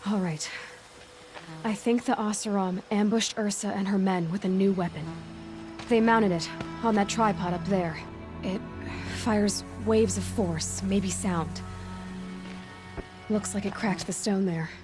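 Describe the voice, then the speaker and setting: A confident young huntress with a sharp mind, played by a woman in a video game. Her voice is mid-pitched and direct, reasoning things through plainly, with music clearly playing underneath. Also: fairly even intonation, a little slow, lightly acted. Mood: focused, analytical. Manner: direct, matter-of-fact, reasoning aloud. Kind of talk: dramatic video game acting.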